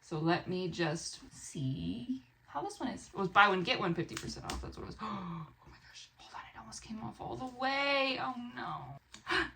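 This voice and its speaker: A young American woman chatting casually to camera as a book vlogger, in an expressive, rambling way, often interrupting herself. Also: medium pitch, normal speed. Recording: clear background room noise.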